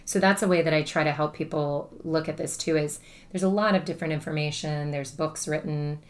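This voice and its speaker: Guest, woman, low projecting voice, relaxed chatty delivery with fillers. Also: brisk. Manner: chatty, thinks aloud with many fillers. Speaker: guest, spiritual teacher. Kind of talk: casual conversation.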